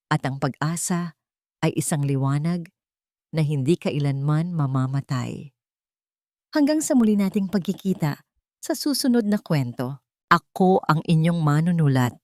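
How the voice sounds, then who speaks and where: A woman narrating a scripted story in an earnest, sentimental, measured way. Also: lowish voice, darkish tone, no room sound, studio quality.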